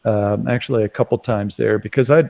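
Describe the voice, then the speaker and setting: A man in a casual current affairs discussion, speaking haltingly and often tagging his phrases with "right". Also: fairly low pitch, steady tone, creaky, darkish tone. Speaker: commentator on a live talk show.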